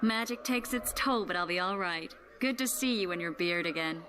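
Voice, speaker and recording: A woman playing a composed, knowledgeable sorceress speaking calmly and earnestly in a fantasy game. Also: fairly low pitch, moderate pace, a little hiss or hum. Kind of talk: dramatic game dialogue.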